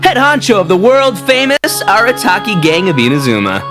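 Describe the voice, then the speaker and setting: A woman's voice: medium pitch, big pitch swings, moderate pace, natural voice. A woman voicing a brash, goofy male gang boss in a game, with a bombastic, cocky, slangy way of talking. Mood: boastful. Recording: music behind the voice.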